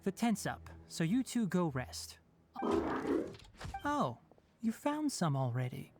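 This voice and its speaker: A woman's voice: on the low side, big pitch swings, slow, loud music behind the voice, heavily acted. A woman voicing a strict but kind-hearted young male forest watcher in a video game, instructive and matter-of-fact with light teasing.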